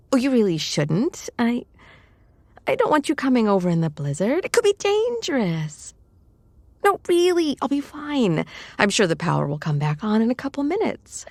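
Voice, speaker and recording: A woman playing a shy, affectionate lamia, soft and hesitant, often near a whisper, in an intimate roleplay audio drama. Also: mid-range voice, no echo. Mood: chatty, worried. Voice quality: breathy, often dropping to a whisper. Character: shy, cold lamia snake-woman, affectionate childhood friend.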